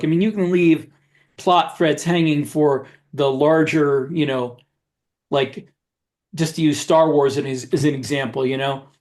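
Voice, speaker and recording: A man with a somewhat high-pitched voice co-hosts a podcast. He talks casually and haltingly, offering firm opinions as he goes. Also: darkish tone. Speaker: podcast co-host, author. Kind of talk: casual discussion of writing.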